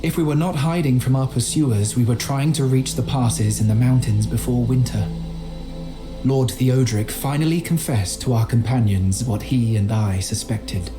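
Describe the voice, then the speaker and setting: Earnest medieval scholar played by a man, mid-pitched voice. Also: music in the background, lightly acted. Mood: solemn, reflective. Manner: formal, measured, literary. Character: earnest, learned, timid medieval scholar and chronicler. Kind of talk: dramatic journal narration in a video game.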